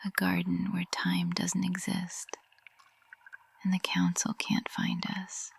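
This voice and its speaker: A woman's voice: on the low side, completely flat delivery, vocal fry, slightly rough, dry recording, exaggerated voice. A woman voicing a tender, possessive witch teacher in an intimate ASMR roleplay, whispering breathily and adoringly, close to the microphone. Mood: possessive, soothing. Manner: slow, hushed, intimate, adoring.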